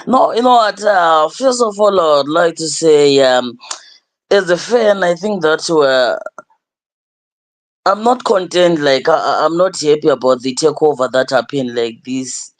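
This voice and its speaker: Frank man with a higher voice. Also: animated, a little slow. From Zimbabwe. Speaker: caller fan. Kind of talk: call-in opinion.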